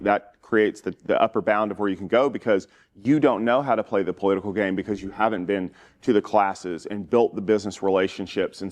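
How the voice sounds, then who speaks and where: Host guy, lowish voice, wry and jokey, casual panel chat. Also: darkish tone. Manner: joking, wry. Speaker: podcast host.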